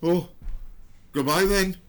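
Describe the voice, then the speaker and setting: A man's voice: high voice, slow pace, fairly bright tone, smooth. A man playing a folksy, grumbling old villager in an audio drama.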